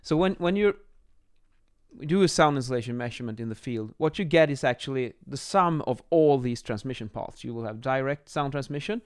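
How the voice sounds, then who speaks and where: A man in his thirties explaining as an instructor in an informal lesson, a little halting as they think aloud, recorded on a close microphone in a small room. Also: medium pitch, lively intonation, clear, resonant tone. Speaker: acoustics instructor, presenter. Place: small home office, indoors. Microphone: microphone on boom arm, close.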